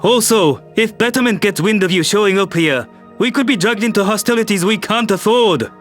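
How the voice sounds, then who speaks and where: A man's voice: sits high, a little fast, fairly bright tone, faint music behind. A man playing a harried human world leader, tense and exasperated.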